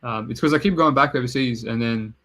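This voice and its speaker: A man's voice: medium pitch, brisk, pushed and bright. A man with a mixed Serbian-Australian accent hosts a podcast, chatting casually and swearing now and then. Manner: laid-back, swears casually. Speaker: podcast host. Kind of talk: casual chat.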